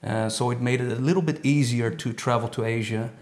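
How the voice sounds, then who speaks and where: A man's voice: medium pitch, steady tone, fairly quick, a little mellow. A man from the Netherlands, a talk show guest, explaining things in a friendly, storytelling way. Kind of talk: casual chat. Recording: echoey studio.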